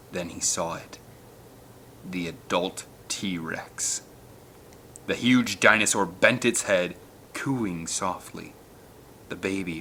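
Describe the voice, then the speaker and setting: A man who hosts and narrates audiobook readings in an expressive, dramatic way, at times speaking casually to listeners. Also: low-pitched, slight background noise, rough recording. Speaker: narrator and channel host. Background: film score music.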